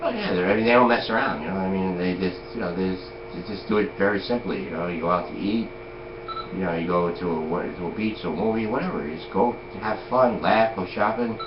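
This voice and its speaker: A man's voice: low voice, fast talker. A man in his forties chatting casually, rambling and full of "you know" and "like". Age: 40s. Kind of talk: casual chat. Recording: echoey lo-fi room with noise.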